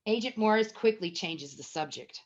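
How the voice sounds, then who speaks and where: A woman's voice: mid-range voice, animated, moderate pace. A woman narrating a screenplay at a table read, reading scene headings and stage action as scripted narration. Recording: dull, lo-fi sound.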